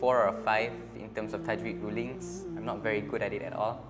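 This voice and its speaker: A nineteen-year-old man, briskly explaining the rules of a quiz game. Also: lowish voice, flat delivery, long reverb tail. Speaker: quiz contestant.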